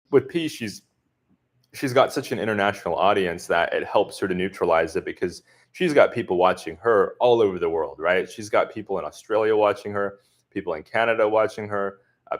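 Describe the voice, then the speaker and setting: Low-voiced man chatting casually. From southern US. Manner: relaxed, thinking aloud with many fillers; conscious neutral accent. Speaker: expat vlogger answering live chat. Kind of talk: casual livestream Q&A.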